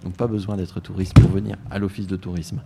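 A man heading a tourist office, answering questions in a live radio interview, with frequent pauses and restarts. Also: low-pitched, little pitch movement, vocal fry, noisy background. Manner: hesitant, many fillers and restarts.

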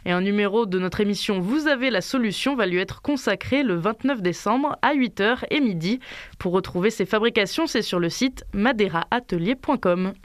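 A woman presenter at a middle pitch reads local event listings on the radio. Her delivery is smooth and scripted, with no hesitation. The studio sound is dry. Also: animated, normal speed, dry recording. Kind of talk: reading event announcements.

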